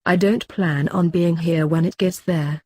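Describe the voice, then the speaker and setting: A woman narrating forum posts aloud in an even, steady read with no fillers. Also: fairly low pitch, unhurried, slight background noise.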